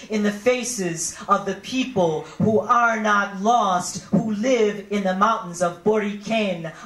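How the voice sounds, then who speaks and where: A woman in her thirties performing spoken-word poetry in a declamatory, deliberate way with dramatic pauses, on a handheld microphone in a hall. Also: medium pitch, fairly bright tone. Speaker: poet. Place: indoor hall, on stage. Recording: roomy live hall sound, music behind.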